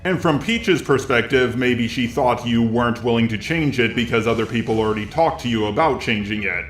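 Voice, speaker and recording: A man giving scripted online drama commentary in a measured, conciliatory way. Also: medium pitch, echoey room. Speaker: YouTube commentator.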